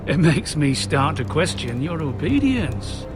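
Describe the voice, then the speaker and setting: Casting: gloating, arrogant middle-aged lord in a fantasy game. Highish, very lively pitch, mocking drawl, music underneath. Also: male voice. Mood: menacing, gloating. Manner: smug, mocking, slow and drawn-out. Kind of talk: dramatic video game acting. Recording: music behind the voice.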